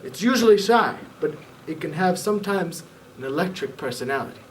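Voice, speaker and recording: A man's voice: high-pitched, animated, a little fast, slight background noise. A man doing a dry old professor. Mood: knowing. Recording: voice a bit far from the mic.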